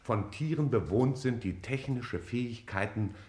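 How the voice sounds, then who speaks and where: A man playing a scientist in a 1950s German radio drama, reading messages aloud in a careful, halting way. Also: mid-range voice, a little mellow, close to an everyday voice. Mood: halting, intent.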